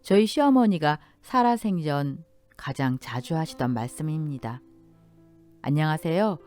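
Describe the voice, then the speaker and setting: A woman narrating a scripted first-person story in a gentle, polite storytelling manner. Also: sits low, animated, unhurried, soft background music.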